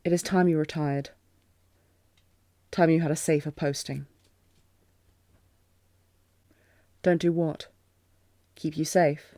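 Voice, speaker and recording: Fantasy queen, played by a woman: commanding yet heartsick, mellow timbre, very dry close sound, restrained intensity. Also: sits low, steady tone, a little slow, no echo. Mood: grave, defiant. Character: commanding, tormented queen in love with her general. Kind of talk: fantasy audio roleplay, dramatic acting.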